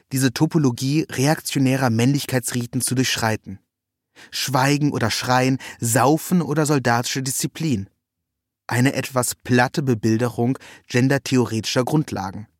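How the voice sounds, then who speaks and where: A man narrating a scripted first-person literary text in a measured, ironic manner. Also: medium pitch, dry recording, clean studio sound.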